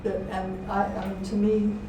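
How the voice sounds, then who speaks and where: An older woman, an author speaking to an audience in a halting, reflective manner. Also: mid-range voice, monotone, music in the background. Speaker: author and poet giving a talk. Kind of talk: informal talk and poetry reading to an audience. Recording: very echoey room, distant mic, faint noise.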